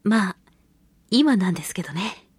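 A woman hosting a casual solo radio talk show, reading listener mail in a playful, giggly, cutesy way with a husky, breathy voice. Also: mid-range voice, animated, moderate pace, darkish tone, dry recording. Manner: playful, giggly, slips into cutesy exclamations and quirky old-man phrasing. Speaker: radio show host, voice actress.

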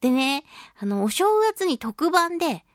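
A woman's voice: high-pitched, sing-song, unhurried, fairly bright tone, no echo, studio quality. A woman hosting a radio talk show alone, chatting informally and thinking aloud in a giggly manner. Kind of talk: casual chatty radio monologue.

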